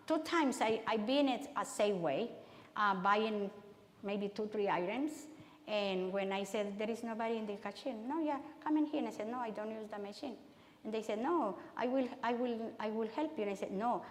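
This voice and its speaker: A woman with a Spanish accent speaks to a church audience in a conversational, halting way and often starts her sentences again. Her voice sits at a middle pitch. The hall around her echoes, and the reverb rings on after each phrase. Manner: conversational, halting, many restarts. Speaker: guest speaker.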